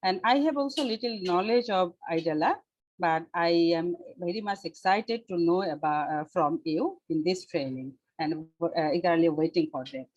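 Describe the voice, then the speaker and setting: A woman's voice: lowish voice, moderate pace, darkish tone, no echo, dull audio. A woman from Bangladesh, introducing herself formally and eagerly on a video call. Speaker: child development worker.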